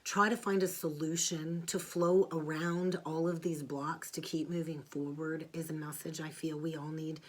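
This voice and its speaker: A woman's voice: sits low, flat delivery, moderate pace, few pauses. A middle-aged woman giving a weekly tarot reading, blunt and frank, with some salty language. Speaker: tarot reader. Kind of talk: spiritual forecast monologue.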